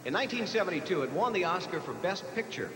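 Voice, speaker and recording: A man's voice: high-pitched, very animated, fast talker, fairly bright tone. An American man hosting a live quiz show, reading out questions and ruling on answers. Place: large echoey hall, live televised competition. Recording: noisy, echoey 1980s TV broadcast in large hall.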